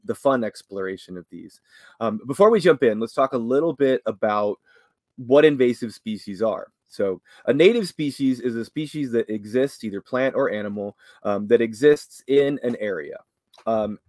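A man in his thirties talks through an online lesson in a relaxed, chatty way, pausing on fillers. His voice sits a bit low. The sound is dry and close, from a headset in a home office. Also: dry recording. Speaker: outdoor educator. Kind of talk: casual educational talk.

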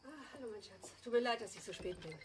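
A woman's voice: medium pitch, fast, faint music behind, natural voice. An actress playing a harried working mother in a German crime radio drama. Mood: affectionate.

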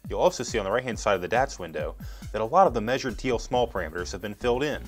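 A man's voice: fairly low pitch, lively intonation, racing, soft background music. A man in his thirties giving scripted, methodical step-by-step instructions as a product demonstrator. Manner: methodical, explanatory.

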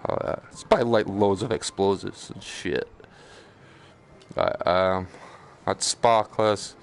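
Slow, gravelly man streaming a game. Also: low voice. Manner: excitable, playful, sweary. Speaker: live streamer. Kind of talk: casual gaming commentary.

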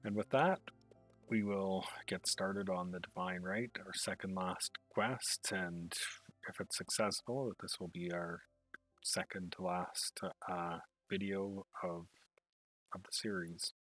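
A man giving casual, halting commentary as a developer playing his own game, thinking aloud as he goes. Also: low voice, steady tone, a bit bright, low-quality recording. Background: faint music.

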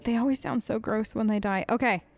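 A woman's voice: on the high side, fairly quick, vocal fry, loud music behind the voice. A woman doing casual, improvised role-play commentary as a let's play host, conversational, hesitant and often questioning.

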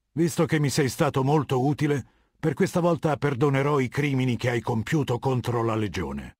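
A man acting as a middle-aged warlord who rules a legion in a video game. His voice is husky and mid-pitched, recorded dry and close. He speaks with cold, condescending command and an edge of menace. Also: no room sound, close to an everyday voice. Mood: cold, menacing. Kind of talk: video game dialogue, Italian dub.

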